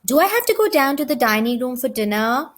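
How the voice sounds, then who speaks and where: A woman's voice: high-pitched, big pitch swings, a little fast. A woman voicing an unwell, kindly young boy in a children's storybook, hesitant and plain-spoken. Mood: reluctant. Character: listless, unwell young boy, kind and apologetic. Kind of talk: children's audiobook character reading.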